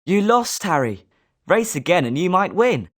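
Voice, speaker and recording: A confident British schoolboy wizard as acted by a woman, a little low in pitch. The melody is very lively, suited to a sporting, competitive character in a video game. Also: normal speed. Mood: consoling.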